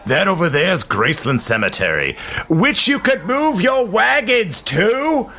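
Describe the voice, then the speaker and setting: An actor playing a brash, loud streetcar conductor who chats with a passenger. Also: male voice, high-pitched, big pitch swings, measured pace, exaggerated voice. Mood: chatty. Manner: loud, brash, barking at traffic. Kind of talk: audio drama acting.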